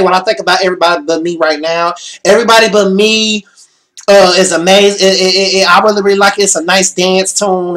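Hyped young guy on a webcam, bit hoarse, cussing and repeating himself. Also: high voice. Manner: animated, swears a lot, repeats himself. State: slightly hoarse. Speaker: vlogger. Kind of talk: excited concert reaction vlog. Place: small bedroom.

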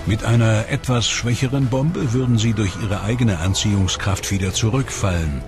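Low-voiced male documentary narrator over music. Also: moderate pace.

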